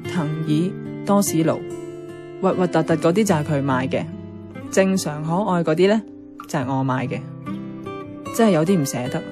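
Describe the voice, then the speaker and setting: An actress as a wistful young Hong Kong woman, lowish voice. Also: lightly acted. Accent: Hong Kong Cantonese. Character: sentimental young woman about to part from her love. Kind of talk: radio drama acting with first-person narration. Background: music, plucked guitar.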